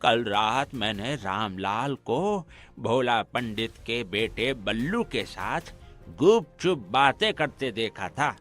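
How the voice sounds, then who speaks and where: A man's voice: medium pitch, animated, slow, fairly bright tone, faint music behind. A man voicing a grave, caring older uncle in a village cartoon.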